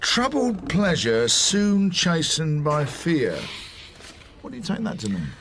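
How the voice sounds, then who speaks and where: An actor in a radio drama playing a measured, probing investigator who asks terse, precise questions. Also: male voice, on the high side, huge pitch swings, a bit bright, slight background noise, full character voice. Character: probing, measured male investigator weighing a scandal.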